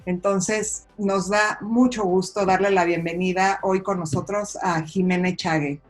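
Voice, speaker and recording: A woman's voice: mid-range voice, fairly even intonation, unhurried, soft background music. A woman hosting an interview, welcoming her guest in a courteous, polished way with some fillers. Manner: friendly, formal welcoming tone with fillers. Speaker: interview host.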